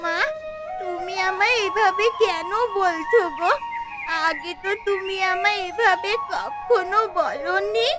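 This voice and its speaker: A woman's voice: extremely high pitch, takes it slowly. A woman voicing an innocent little village girl with a plaintive, childlike manner in a fairy-tale cartoon. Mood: hurt, plaintive. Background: music.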